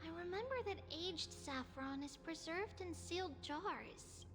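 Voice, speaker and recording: A woman voicing a tiny, sweet and solemn childlike girl oracle in a video game, in a halting, cutesy manner. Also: extremely high pitch, in a large hall, over music. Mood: worried, resolute.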